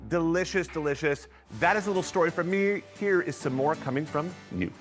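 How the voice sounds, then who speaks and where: A man's voice: a little high, a little fast, soft background music. An American man hosting a cooking show with casual banter, effusive and joking, often repeating his phrases.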